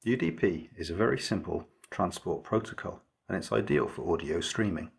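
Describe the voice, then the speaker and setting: A man with a low, creaky voice presents a scripted electronics tutorial. His tone is very husky and breathy-rough rather than smooth. He explains each step methodically, in a measured, explanatory manner. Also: lively intonation. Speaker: maker presenting an electronics project.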